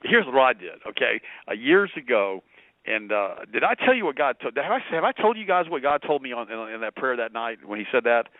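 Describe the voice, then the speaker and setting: A quick-talking man in his fifties, native German speaker, tells a long story in an earnest, rambling way. He comes through a dry, tinny phone line. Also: medium pitch, sing-song, no echo. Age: 50s. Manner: rambling, repeats words, earnest. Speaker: guest. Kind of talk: personal story on a talk radio show.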